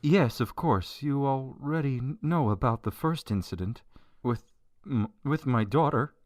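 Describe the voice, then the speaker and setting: A man's voice: mid-range voice, measured pace, no echo, studio quality, close to an everyday voice. A man playing a worried, grieving governor in a radio drama, speaking in a polite, hesitant way. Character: worried, grieving male governor seeking help.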